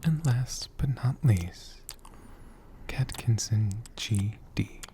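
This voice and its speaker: A man speaking as an ASMR host in a soft, gentle, hushed whisper, taking his time. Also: medium pitch, extremely slow, slight background noise.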